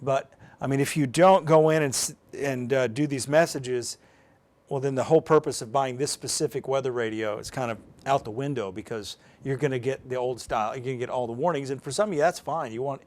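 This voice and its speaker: A man presenting an informal, unscripted step-by-step tutorial, explaining plainly in a conversational way as he demonstrates. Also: medium pitch. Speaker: presenter of a live how-to demonstration.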